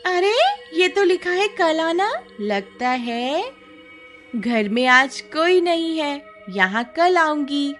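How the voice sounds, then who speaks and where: Woman voicing a high, prowling witch. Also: very animated, takes it slowly, a bit bright, faint music behind, full character voice. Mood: prowling, eager. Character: menacing female witch hunting villagers at night. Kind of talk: Hindi animated horror story acting.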